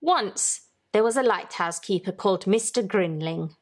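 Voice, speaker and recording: A woman hosting a children's story time, reading aloud in a deliberate, teacherly way and putting questions to young listeners. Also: medium pitch, animated.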